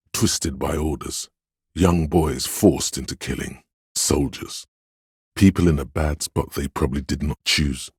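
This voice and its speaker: Game role: stern, imperious male voice of authority, extremely low register, dry close sound, declamatory delivery. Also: a little mellow, breathy edge, no room sound, heavily acted. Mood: grave, solemn. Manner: declamatory, imperious, clipped pronouncements. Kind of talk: scripted video game narration and voice acting.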